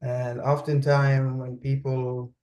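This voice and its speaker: A man, speaking English as an in an interview. He talks slowly and deliberately, pausing often to search for the right words, at a middle pitch for a man. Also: little pitch movement, darkish tone. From Saudi Arabia. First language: Arabic. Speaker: guest expert. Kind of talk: interview conversation.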